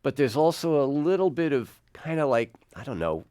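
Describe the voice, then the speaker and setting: Male interviewer with swooping, lively intonation. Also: mid-range voice, normal speed, clean tone, no echo, studio quality. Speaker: radio host and interviewer. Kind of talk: conversational studio interview. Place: radio studio.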